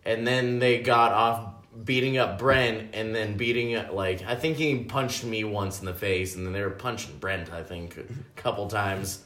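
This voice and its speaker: A man's voice: fairly low pitch, normal speed, fairly bright tone. A man in casual drunken podcast chat, rambling and laughing as he tells a story. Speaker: podcast guest. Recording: echoey room.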